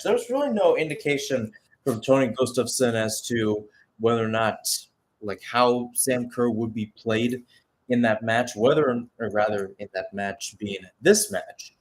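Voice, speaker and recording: A man's voice: medium pitch, a little slow. A man giving live radio-style football commentary as a play-by-play caller, mixing match calls with chatty, conversational asides.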